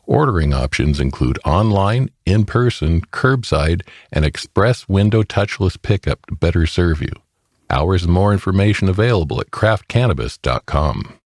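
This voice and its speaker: A man's voice: sits low, lively intonation, moderate pace, no echo, clean studio sound. A man reading scripted sponsor messages as a radio announcer. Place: dry studio, close mic.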